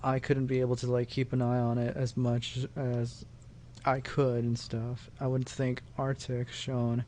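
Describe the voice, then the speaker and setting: A man hosting a channel, giving a casual unscripted thank-you in a halting, repetitive, rambling way. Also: medium pitch, completely flat delivery, a little mellow, a little hiss or hum. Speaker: channel host and dub producer.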